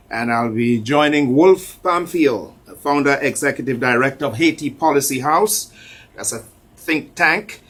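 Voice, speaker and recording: A man hosts a radio talk show, speaking at a measured pace and stressing his points by repeating them. His voice sits at a medium pitch. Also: fairly bright tone. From Anguilla.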